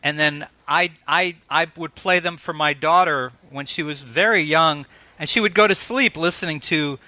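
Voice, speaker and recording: Middle-aged male host, high voice with a dry close sound, feeling his way through conversational interview questions. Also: moderate pace, pushed and bright, dry recording.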